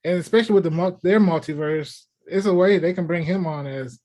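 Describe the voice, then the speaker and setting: A male co-host with a somewhat high voice chats casually. He is opinionated and jokey in how he talks. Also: fairly quick, darkish tone, slight background noise. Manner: joking, opinionated. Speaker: talk show co-host. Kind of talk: casual chat.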